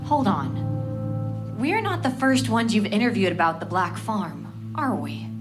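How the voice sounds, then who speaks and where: An actress playing a wary, traumatized survivor of a hellish afterlife in a horror audio drama, guarded and challenging. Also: female voice, mid-range voice, big pitch swings, slow pace. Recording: background music under the voice.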